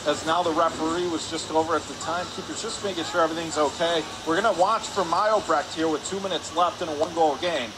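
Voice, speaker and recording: Male sports announcer, higher voice, clipped play-by-play phrasing, live radio hockey call, clear background noise. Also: steady tone. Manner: clipped rapid phrases, rising on shots. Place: ice rink arena.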